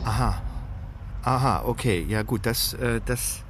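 A man's voice: medium pitch, a little slow, noisy background, lightly acted. An actor playing a harried, blunt middle-aged father and career man in a naturalistic radio drama. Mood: taken aback.

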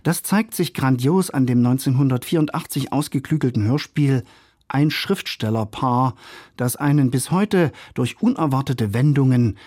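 A man's voice: mid-range voice, normal speed, a little mellow, no room sound. Man reading a scripted review, dry studio sound. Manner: polished, ornate phrasing. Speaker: radio culture critic.

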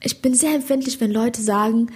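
A young woman from Hesse with a Hessian accent, a stand-up comedian chatting in an interview, animated and slangy, often asking "you know?". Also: on the high side, a little fast, husky. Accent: Hessian German. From Hesse, Germany. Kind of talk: casual interview chat.

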